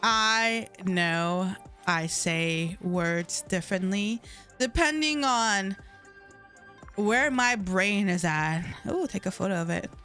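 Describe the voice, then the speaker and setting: A woman's voice: mid-range voice, expressive, takes it slowly, a bit bright, soft background music. A woman game streamer with a slight lisp, chatting casually on a live stream while playing, mumbling and stumbling over her words.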